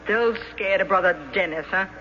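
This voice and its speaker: A woman's voice: mid-range voice, normal speed, close to an everyday voice. A woman playing a plain-spoken, pushy, motherly landlady in a radio drama, with a blunt, folksy, prodding manner. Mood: prodding, encouraging. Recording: dull, noisy old radio broadcast.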